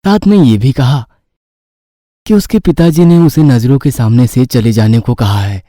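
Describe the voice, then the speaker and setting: A man's voice: mid-range voice, normal speed, dark tone, no echo, clean studio sound. A man narrating a scripted story as a storyteller.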